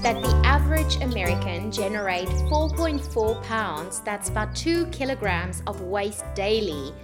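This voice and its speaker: Bubbly lively-voiced woman podcast host. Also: medium pitch, unhurried, loud music behind the voice. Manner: upbeat, bubbly greeting. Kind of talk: scripted podcast intro and interview questions.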